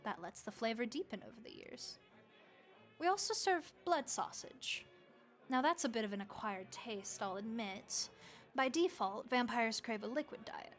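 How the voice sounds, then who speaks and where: Woman as motherly female vampire innkeeper, higher-pitched, gentle, chatty, comforting, audio roleplay, faint music behind. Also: natural voice. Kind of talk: audio roleplay addressed to the listener.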